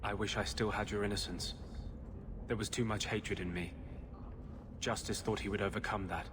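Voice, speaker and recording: An actor playing a tormented healer mage who is possessed by a vengeful spirit, speaking with brooding, earnest intensity. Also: male voice, on the low side, fairly even intonation, moderate pace, background noise, natural voice. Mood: anguished, intense. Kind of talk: dramatic video game acting.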